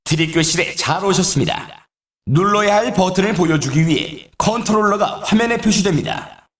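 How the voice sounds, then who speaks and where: A man's voice: sits high, normal speed, fairly bright tone. A man playing an upbeat, showy sports announcer in a video game, with polished, scripted commentary delivery. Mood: instructive, encouraging. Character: male snowboard race announcer, upbeat and showy. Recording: echoey, distant-sounding game audio.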